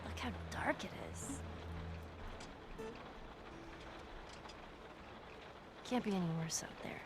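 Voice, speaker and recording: A woman's voice: medium pitch, fairly quick, natural voice. A woman playing a defiant, inquisitive teenage girl in a video game, blunt and youthful. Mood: uneasy, curious. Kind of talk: video game cutscene acting. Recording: game scene with clear ambient noise.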